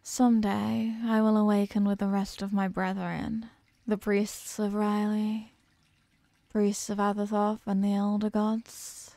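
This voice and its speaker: An ancient eldritch goddess, acted by a woman in a horror audio roleplay, imperious and menacing. Her voice is mellow and gravelly, recorded very dry and intimate. She talks down to lesser beings with deliberate, hungry condescension. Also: mid-range voice, little pitch movement, no room sound, natural voice. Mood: ominous, condescending. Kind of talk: slow horror audio roleplay monologue, ASMR.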